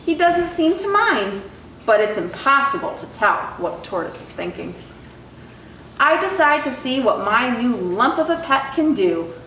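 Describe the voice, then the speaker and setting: A woman reading a children's picture book aloud in an expressive, animated storytelling style. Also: fairly high pitch, unhurried. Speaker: storytime reader. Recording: roomy echo, distant mic, faint noise.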